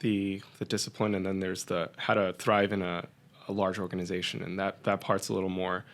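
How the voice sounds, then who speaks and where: A man's voice: deep voice, little pitch movement, a little fast, fairly bright tone. A man hosting a podcast, asking his guest questions in an easygoing way. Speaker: podcast host. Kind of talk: interview questions.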